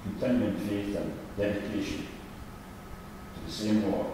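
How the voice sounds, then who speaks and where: An older man reading a formal prayer in solemn, measured phrases at a church lectern. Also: lowish voice, fairly even intonation. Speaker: church member leading prayers. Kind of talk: formal read-out intercessory prayer. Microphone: gooseneck lectern microphone. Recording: echoey church hall, distant, noisy.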